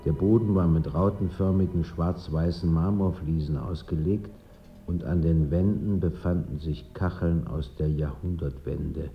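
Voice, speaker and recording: A man acting as a reflective first-person narrator in a German radio play, with measured, literary storytelling. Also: sits low, steady tone, dull audio.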